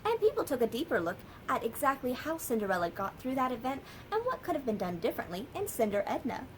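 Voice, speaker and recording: An American woman, a children's librarian, telling a story and sharing books for kids in a lively, sing-song way. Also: sits high, fast, a little hiss or hum.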